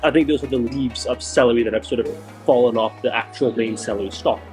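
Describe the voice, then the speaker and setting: A man hosting a travel food vlog, a little hoarse and raspy from feeling unwell, talking enthusiastically and repeating words for emphasis. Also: medium pitch, fast talker, a little mellow, faint music behind. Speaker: food vlogger host. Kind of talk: casual travel vlog narration. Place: outdoors in a small-town plaza, then a tiny eatery.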